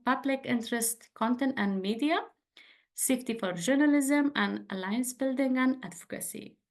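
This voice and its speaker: Afghan-accented woman, halting English. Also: fairly high pitch. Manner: halting non-native English with many fillers. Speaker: webinar speaker. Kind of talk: presentation in a webinar.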